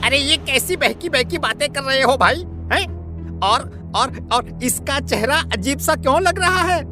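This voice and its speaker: A woman's voice: high voice, lively intonation, a little slow, over music. A woman playing an alarmed, disbelieving villager in a horror cartoon story, stammering and repeating words nervously. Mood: baffled disbelief. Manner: stammering, repetitive, flustered. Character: alarmed, disbelieving villager leading a worried group.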